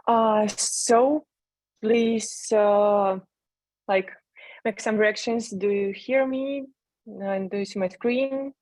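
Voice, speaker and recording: A woman from Russia with a Russian accent presenting slides in non-native English over a video call, in a measured way with pauses. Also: medium pitch, dry recording. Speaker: conference speaker, dog trainer. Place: video call with screen sharing. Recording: dull lo-fi online call audio.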